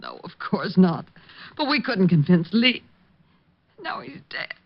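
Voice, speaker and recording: A woman's voice: fairly low pitch, big pitch swings, unhurried, husky, exaggerated voice. An actress playing a fretful young woman who has just lost her brother, in a 1950s radio drama. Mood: anxious. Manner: fretful, pleading. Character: anxious young woman, grieving sister. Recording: dry, muffled old radio broadcast.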